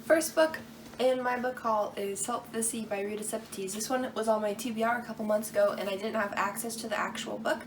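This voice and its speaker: A woman's voice: fairly high pitch, brisk, distant mic. A woman shows off her new books with eager, rambling chatter. She sits a little back from the mic, and a faint hiss runs under her voice. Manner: enthusiastic, rambling. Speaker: co-host. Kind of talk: casual book haul chat.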